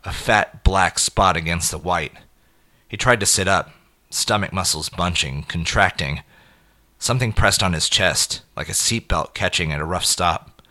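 Deep, bright-voiced man narrating dark fiction. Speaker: narrator of a short horror story. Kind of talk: scripted dramatic audio fiction narration.

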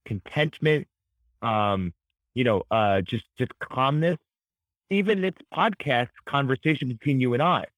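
A high-energy male expert guest with a mid-range voice and a dry, close sound. He explains concepts conversationally, articulate and emphatic, like an educator in an interview. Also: lively intonation, unhurried, a bit bright, no room sound, poor audio. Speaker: podcast guest, author and psychology educator.

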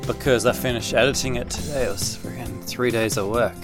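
A man's voice: medium pitch, fairly quick. A man chatting casually and jokily as a podcast co-host. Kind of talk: casual comedic chat. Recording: music playing over the talk. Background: music.

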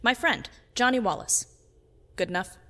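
A woman's voice: a little high, moderate pace. A woman playing a wry hard-boiled private eye. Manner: wry, deadpan noir narration. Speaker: private detective narrator. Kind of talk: film noir narration.

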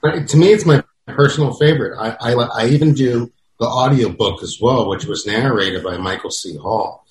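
A man's voice: mid-range voice, normal speed. A man chatting casually as a podcast panelist, with a joking manner. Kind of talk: casual chat. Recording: echoey room sound.

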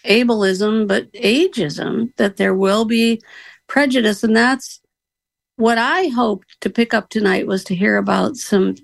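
A woman's voice: medium pitch, slow, gravelly, no echo. An older woman with grandchildren, speaking candidly in a casual call-in group discussion and laughing often. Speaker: call-in participant.

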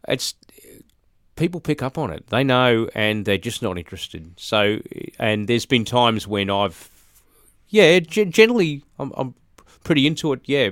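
An Australian man in his forties, a musician chatting as an interview guest, halting with fillers and restarts. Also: lowish voice, a bit bright, no echo, studio quality. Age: 40s. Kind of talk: casual interview talk.

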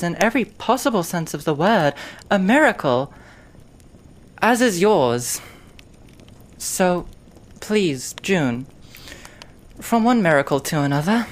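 Gentle, caring female friend for a fan audio drama woman acting, lowish voice, formal and measured, earnest and polite. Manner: formal, measured, careful wording.

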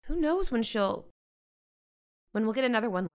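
A woman's voice: a little high, expressive, normal speed, darkish tone, lightly acted. A middle-aged American woman playing a stressed, stern but loving mother in a modern audio drama. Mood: gentle, persuading.

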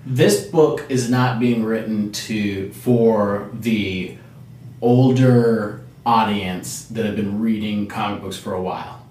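A man co-hosting a casual podcast, talking in a relaxed, rambling way. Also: on the low side, slow pace, recorded from a distance. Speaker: podcast co-host. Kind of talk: casual chat. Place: small room. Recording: roomy small room.

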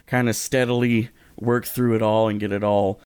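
A man's voice: on the low side, level tone, normal speed, darkish tone, smooth, dry recording, clean studio sound. A man co-hosting and editing a casual podcast from his living room, chatting in a playful, rambling way with jokey asides. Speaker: podcast co-host and editor. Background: radio sound effects and music.

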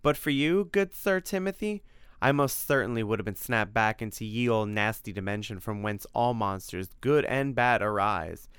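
A big ugly storybook monster, acted out by a man, good-natured and chatty. He speaks in folksy, mock-grand flourishes, eager to explain, with a clear voice recorded close and dry. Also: lowish voice, normal speed, a bit bright, no room sound, lightly acted.

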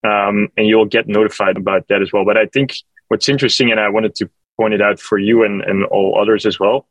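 A man representing an app company, explaining it in a halting way with lots of fillers and restarts. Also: on the low side, fairly even intonation, no echo, dull audio. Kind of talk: casual call-in Q&A.

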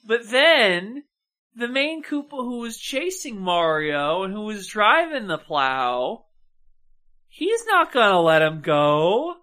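A woman's voice: medium pitch, very animated, takes it slowly, pushed and bright. A woman co-hosting a podcast, giving casual, opinionated film commentary in a wry, sardonic way. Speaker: podcast co-host.

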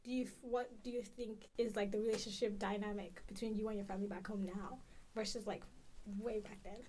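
A woman's voice: mid-range voice, fairly even intonation, brisk, darkish tone, a little hiss or hum, poor audio. A young woman co-hosting a podcast, asking questions in a casual, conversational way. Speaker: podcast co-host.

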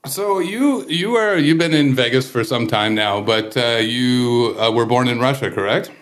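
A man's voice: medium pitch, animated, moderate pace, fairly bright tone. A man hosting a podcast, chatting casually and teasing his guest with friendly banter. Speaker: podcast host.

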